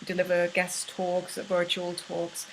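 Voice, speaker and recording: A woman from Germany with a German accent speaks as a university lecturer, giving an informal course presentation in a halting way with frequent pauses. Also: fairly low pitch, monotone, slight background noise, low-quality recording. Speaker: university art history lecturer.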